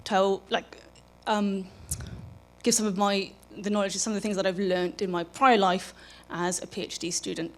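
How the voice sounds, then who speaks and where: A woman speaks as the presenter of a public lecture. Her delivery is informal and halting, often breaking off to rephrase. She talks into an event microphone in a live setting. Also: mid-range voice, flat delivery. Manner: informal, halting, self-interrupting. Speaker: public programs manager and lecturer. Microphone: microphone for live streaming.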